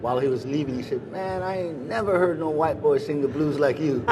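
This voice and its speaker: A middle-aged man from Hawaii, a musician, answering interview questions in a relaxed, rambling way with many pauses and restarts. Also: a little high. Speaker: musician guest. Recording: busy crowd noise behind.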